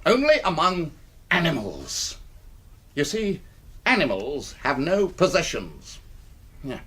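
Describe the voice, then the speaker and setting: A man's voice: on the high side, wildly swooping pitch, slow pace, fairly bright tone, husky, full character voice. An older man with a British accent, playing an irascible, bereaved, pompous retired army colonel in a radio drama. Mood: pompous, bitter. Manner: pompous, blustering, sardonic.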